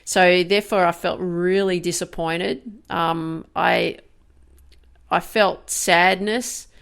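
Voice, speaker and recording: A woman in her fifties with a slightly low voice, talking her way through a candid, reflective podcast monologue. Her tone is smooth and resonant, with a gravelly edge, and she often stops and restarts mid-thought. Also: steady tone. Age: 50s. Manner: candid, halting, many restarts and fillers. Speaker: podcast host.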